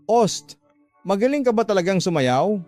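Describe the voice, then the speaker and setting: A man's voice: high voice, very animated, moderate pace, darkish tone, faint music behind, voice pushed up. A man playing a devoted, accepting young student sibling, speaking earnestly and tenderly in a radio drama. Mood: teasing.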